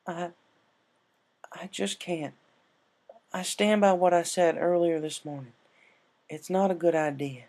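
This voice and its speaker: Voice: mid-range voice, steady tone, a little slow, long pauses, a little mellow, pitched above the performer's own voice, lightly acted. Performer doing a stubborn, honest female farm pony. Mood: stubborn, troubled.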